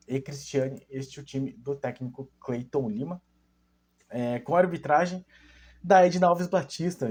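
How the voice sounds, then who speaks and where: A man's voice: medium pitch, mellow, slightly rough. A young man hosting his football channel, casually analysing a women's match and rambling with many fillers and self-corrections.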